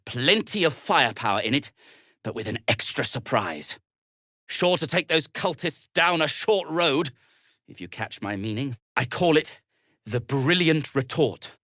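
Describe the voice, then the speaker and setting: Anxious gnome inventor, mannerly, hesitant, widely swinging pitch, unhurried, video game character. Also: male voice, animated, sharp tone, no echo, clean studio sound, heavily acted. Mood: grieving, defeated. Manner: hesitant, stammering, politely formal.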